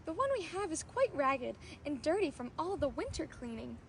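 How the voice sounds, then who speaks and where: A woman's voice: high-pitched, big pitch swings, normal speed, creaky, slight background noise, heavily acted. A woman voicing a kindly, affectionate, cheery aunt in a fairy-tale storybook reading. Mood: matter-of-fact. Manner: exclaiming, affectionate.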